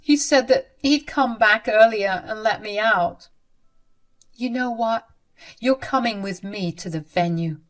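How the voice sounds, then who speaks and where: A woman's voice: fairly high pitch, a little slow, lightly acted. A woman voicing a young bride who is protective and indignant, speaking emphatically. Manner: emphatic and outraged.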